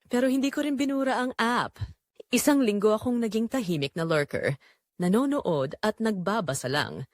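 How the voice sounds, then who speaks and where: A woman with a Filipino accent playing a quiet, neglected wife who confides her hidden longing in a dramatic first-person audio story. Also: medium pitch, animated, moderate pace, no room sound, clean studio sound, lightly acted. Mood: confessional.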